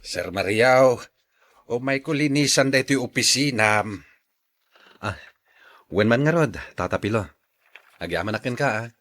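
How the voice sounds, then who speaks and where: A man acting a calm, kind-hearted office worker and patient husband in an Ilocano audio drama, easygoing and reassuring. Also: mid-range voice, unhurried, long pauses. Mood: friendly, generous.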